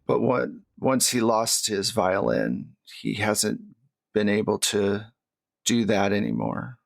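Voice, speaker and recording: A man playing a solemn young man in a tabletop game, talking in a grave, measured storytelling way. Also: on the low side, vocal fry, dry recording, voice pushed down. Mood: somber, explaining.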